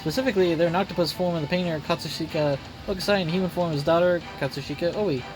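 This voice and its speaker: A man voicing an analytical gentleman detective in a game, speaking formally and at length. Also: on the high side, over music, close to an everyday voice, low-quality recording. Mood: detached. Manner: formal, measured, long-winded.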